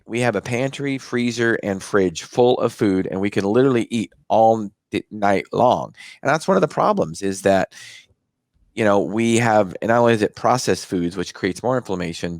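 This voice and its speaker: An American man with a fairly low voice talks as the host of a health show. His delivery is conversational and explanatory, circling back over phrases as he goes. The sound is very dry, with no room echo. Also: animated, moderate pace, darkish tone. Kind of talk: conversational interview talk.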